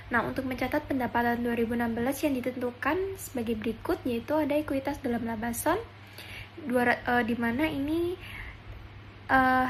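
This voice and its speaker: A young woman giving a scripted presentation as a student, in a halting manner with frequent hesitations. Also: high-pitched, low-quality recording. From Indonesia. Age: young adult. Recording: background noise and room ambience.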